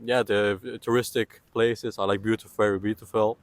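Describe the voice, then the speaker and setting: A man's voice: lowish voice, little pitch movement, fairly quick, no echo. A young man from the Netherlands answering street interview questions, hesitant and full of fillers. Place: outdoors on a street.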